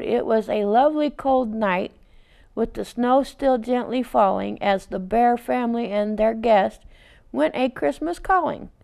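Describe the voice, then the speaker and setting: A woman reading a children's picture book aloud, adding casual asides in a gentle, unhurried read-aloud manner. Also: fairly high pitch, creaky. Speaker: storytime reader.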